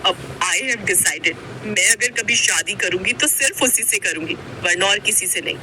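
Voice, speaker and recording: A woman's voice: mid-range voice, a little slow, bright, forceful tone, a little hiss or hum, low-quality recording. A woman voicing a bold, spoiled rich college girl in a dramatic, teasing cartoon style, mixing Hindi with English. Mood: lovestruck. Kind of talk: Hindi cartoon story voice acting.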